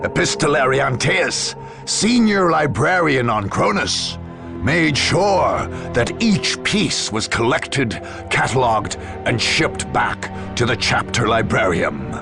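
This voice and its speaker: An actor playing a grave chronicler of grim far-future wars, narrating a game cutscene in a solemn, measured, portentous way. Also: male voice, medium pitch, lively intonation, vocal fry. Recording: music behind the voice.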